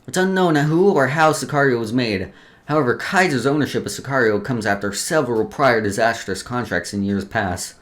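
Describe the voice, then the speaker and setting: A man's voice: mid-range voice, moderate pace, a bit bright. Casual male let's player, stumbling and joking. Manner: stumbles over read text, joking asides; informal, sweary. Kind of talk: casual gameplay commentary mixed with reading lore aloud.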